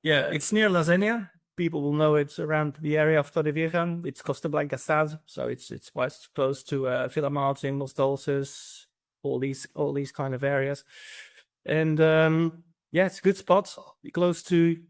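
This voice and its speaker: A man's voice: fairly high pitch, fairly even intonation, moderate pace. Flemish-accented man, hesitant and filler-heavy. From Belgium. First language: Dutch. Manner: hesitant, many ums and restarts. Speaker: real estate agency CEO. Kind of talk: casual interview answers.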